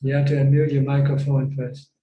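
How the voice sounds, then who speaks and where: Mellow Thai-accented monk in a roomy space. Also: male voice, mid-range voice, monotone, dull audio. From Thailand. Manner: repetitive, halting phrasing. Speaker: Buddhist monk and teacher. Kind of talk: informal Dhamma teaching with questions. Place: Zoom call. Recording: roomy, echoing video call.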